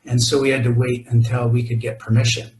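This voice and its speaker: A man presenting a mountaineering talk over photos, halting and full of fillers and restarts. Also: mid-range voice, flat delivery, darkish tone, roomy sound, poor audio. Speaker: expedition climber presenting. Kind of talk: presentation to a club audience.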